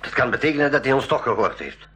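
An actor playing a practical, helpful expedition companion who explains patiently, in a school radio play. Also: male voice, medium pitch, animated, fast talker, pushed and bright. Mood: matter-of-fact. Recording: radio play with audible ambient noise.

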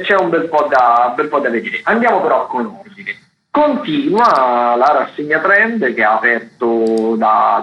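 A man giving a casual, digressive radio rundown of theatre listings as a critic, sometimes hesitating and correcting himself. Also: mid-range voice. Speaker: theatre critic and guest contributor. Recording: roomy, slightly dull radio sound.

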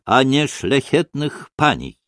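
A man voicing a haughty, self-important gentleman in a storybook, speaking pompously and deliberately. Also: medium pitch, very animated, dry recording, clean studio sound, pitched above the performer's own voice. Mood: haughty, measured.

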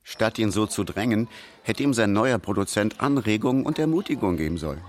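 A man's voice: fairly low pitch, lively intonation, fairly quick. A man narrating a scripted radio documentary about someone's life.

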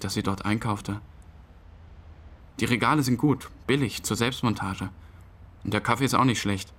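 A man's voice: on the low side, a bit bright. An actor playing a numb, bewildered bereaved boyfriend, telling his story interview-style in a radio drama.

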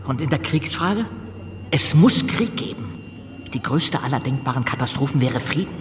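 An actor playing a zealous, emphatic revolutionary politician in a radio drama, recorded in a reverberant corridor. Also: male voice, medium pitch, animated, normal speed, lightly acted. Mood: insistent. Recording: reverberant corridor, faint noise.

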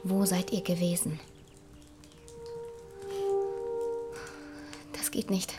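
An actress playing a shaken, accusing young foster daughter in a radio drama, pressing with questions. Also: female voice, on the low side, level tone, normal speed, over loud music, natural voice. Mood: shaken disbelief.

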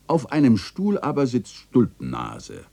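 Uncle narrator, dark deep voice, expressive range, observant, vivid storytelling, radio drama. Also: male voice, slow, vocal fry, heavily acted. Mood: wry, measured. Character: observant, kindly male uncle narrating his memories. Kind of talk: literary narration in a radio play.

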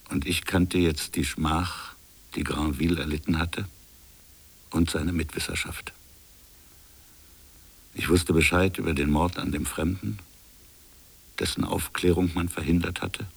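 An actor playing a reflective, defiant colonial official in a literary radio drama, speaking in a measured, deliberate way. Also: male voice, rumbling low, fairly even intonation, vocal fry, slightly rough, full character voice. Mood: brooding, solemn. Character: defiant, reflective colonial official defending his invented fable.

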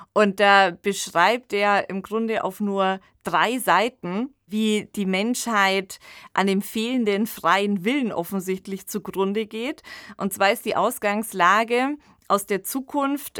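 Female host, medium pitch, conversational analytical delivery, close dry studio recording. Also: fairly bright tone, no echo. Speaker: podcast host. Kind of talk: conversational analysis of a radio play.

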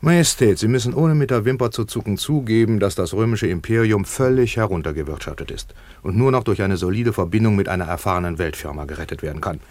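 An actor playing a brash, self-important merchant tycoon in a radio play, talking in a pompous, emphatic business manner. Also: male voice, on the low side, lively intonation, quick pace, darkish tone, no echo, close to an everyday voice. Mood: demanding. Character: brash, self-important tycoon trouser manufacturer in ancient Rome. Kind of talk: German radio play acting.

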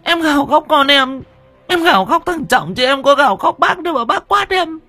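Shrill wailing village mother. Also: animated, normal speed, pushed and bright, soft background music, full character voice. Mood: tearful protest. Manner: shrill, sobbing, pleading. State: crying.

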